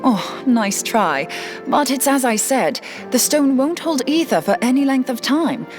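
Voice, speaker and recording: A young fantasy-game scholar, brisk and wryly irreverent. Her delivery swings freely in pitch while staying plain-spoken, and music plays underneath. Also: big pitch swings. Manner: plain-spoken, colloquial, wry. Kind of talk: fantasy game cutscene acting.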